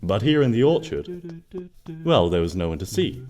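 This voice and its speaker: A man narrating a scripted story expressively, in a gentle storybook manner. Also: mid-range voice, sing-song, normal speed. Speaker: fan fiction narrator.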